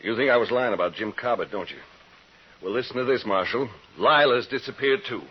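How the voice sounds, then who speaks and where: An actor playing an insistent, pushy frontier man with a husky voice and rural Western American accent in a radio western. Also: mid-range voice, a little fast, close to an everyday voice. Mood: agitated. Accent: rural American West. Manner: urgent, emphatic, pushy. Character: agitated, insistent male frontier busybody. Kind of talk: old-time radio western acting. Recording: muffled old radio recording.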